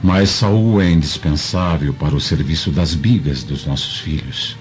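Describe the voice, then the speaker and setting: A Brazilian man playing a worried, cautious Roman patrician husband and father in a radio drama, speaking in a measured, deliberating way. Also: rumbling low, darkish tone. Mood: concerned. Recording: dull lo-fi audio, faint music.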